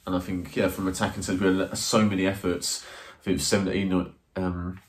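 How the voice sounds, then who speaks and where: A man's voice: sits low, flat delivery, a little fast. A man, reviewing a football match to camera from a small room, hesitant with many ums and restarts. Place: small indoor room, plain wall. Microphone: camera in front, seated.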